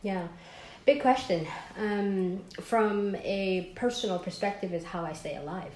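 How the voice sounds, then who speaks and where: A woman's voice: mid-range voice, measured pace. A Somali American woman who directs an NGO, telling her story in an interview with frequent fillers. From Somaliland, raised in the United States. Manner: animated, warm storytelling with many fillers. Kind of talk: conversational interview. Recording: echoey room with faint noise.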